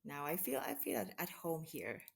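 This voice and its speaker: A woman from the Philippines answering interview questions in an unhurried way, pausing often with fillers and restarts. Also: deep voice, sing-song, gravelly, slightly rough. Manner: hesitant, many restarts and fillers. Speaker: guest, mission associate regional director. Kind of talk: conversational interview.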